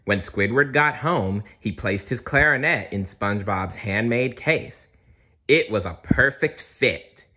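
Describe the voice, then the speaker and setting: A man's voice: on the low side, unhurried, sharp tone, a little hiss or hum. A man reading a storybook aloud as narrator, expressively and with playful asides. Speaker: storybook reader.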